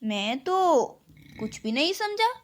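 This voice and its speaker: A woman's voice: high voice, sing-song, takes it slowly, voice pushed up, exaggerated voice. A woman voicing a kindly, knowing old village grandfather in a children's cartoon story. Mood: feigning innocence. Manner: good-humoured, knowing.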